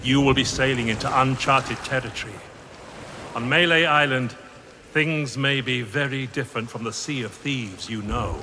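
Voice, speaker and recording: A man playing a solemn, mysterious pirate guide who tells a tale gravely in a video game cutscene. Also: mid-range voice, bright, forceful tone, slight background noise. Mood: calm, advising. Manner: measured, grave, portentous. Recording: storm and sea ambience behind.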